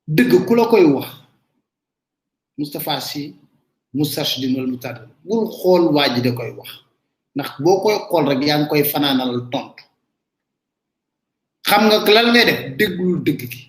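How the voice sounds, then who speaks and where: High-pitched Senegalese man, emphatic commentator. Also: expressive, measured pace, pauses often, echoey room, poor audio. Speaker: online commentator. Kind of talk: live political commentary in casual talk.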